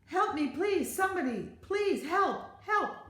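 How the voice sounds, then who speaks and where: Here is a woman acting out a fretful female schoolteacher from a children's storybook. The voice is raised high and urgent, full of worry. The room adds an audible echo. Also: lively intonation, measured pace, fairly bright tone, a little hiss or hum, full character voice. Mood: panicked. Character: panicky, worried woman schoolteacher searching for a pupil. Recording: roomy echo.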